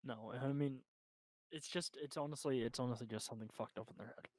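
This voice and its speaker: 18-year-old male co-host, mid-pitched, hesitant, agreeable, dry close mic. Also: quick pace, no echo. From Canada. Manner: agreeable, short replies, hesitant. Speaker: podcast co-host. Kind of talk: casual opinion chat.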